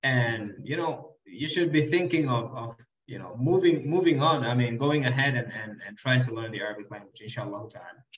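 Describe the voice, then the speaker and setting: A man's voice: mid-range voice, moderate pace, few pauses. Young man, earnest, advising, repeats words, roomy room, dull call audio. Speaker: guest, Arabic teacher. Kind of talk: video call interview. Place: indoor room. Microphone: desk microphone on video call.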